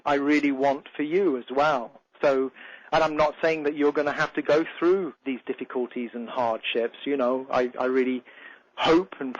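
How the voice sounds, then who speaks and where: A man with an English accent hosting a radio show as a success coach, talking earnestly in a rambling way that often restarts its sentences. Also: mid-range voice. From England. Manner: earnest, rambling, with frequent restarts and "you know". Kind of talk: motivational radio monologue. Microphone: studio microphone. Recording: slightly muffled radio broadcast.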